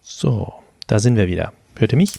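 A man's voice: on the low side, big pitch swings, normal speed, creaky, mellow, husky, no room sound, clean studio sound. A man hosting a podcast in a casual, conversational style. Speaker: podcast host.

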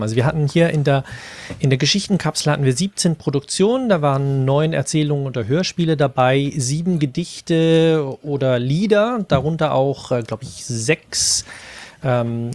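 A man's voice: fairly high pitch, darkish tone. A man casually moderating a podcast conversation as its host.

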